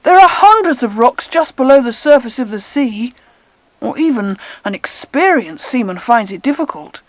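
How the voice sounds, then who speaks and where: Lady doing a hopeless whiny boy for an audio storybook, high voice, very up and down. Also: sing-song, moderate pace, no room sound, low-quality recording. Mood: dismal, earnest. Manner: plaintive, self-deprecating. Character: hopeless, dismal, uneasy boy, easily discouraged. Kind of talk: dramatised audiobook acting.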